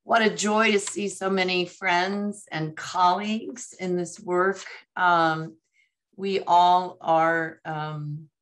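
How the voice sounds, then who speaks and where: An older woman speaks slowly and thoughtfully over a video call from her living room, her voice a little low and roughened by a lingering cold. She pauses often with ums and uhs as she recalls the past. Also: a bit bright. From United States. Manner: slow, reflective, many ums and uhs. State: recovering from a cold. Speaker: former commission moderator. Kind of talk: webinar panel answer.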